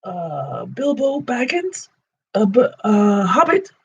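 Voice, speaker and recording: High trembling small hobbit voice, stammering, pleading, a man putting it on, husky, dull lo-fi sound. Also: big pitch swings, moderate pace, darkish tone, exaggerated voice. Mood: frightened. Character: small frightened male hobbit, timid and stammering.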